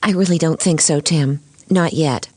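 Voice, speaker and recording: A woman voicing a sensible but anxious cartoon lawyer with a measured, teacherly manner. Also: low-pitched, darkish tone, no room sound, clean studio sound. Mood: cautious. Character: sensible, anxious female lawyer mentoring a boy. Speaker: lawyer character. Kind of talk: animated courtroom cartoon voice acting.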